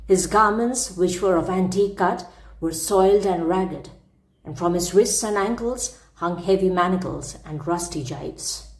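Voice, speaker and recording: A woman narrating a classic story as an audiobook, with measured, precise phrasing. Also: fairly low pitch. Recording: roomy, echoing sound.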